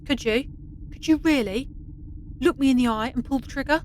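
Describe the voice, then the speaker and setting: An actress playing a brisk, challenging space station crew member in a sci-fi audio drama, with a pointed, questioning manner. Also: female voice, high-pitched, natural voice. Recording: faint background noise.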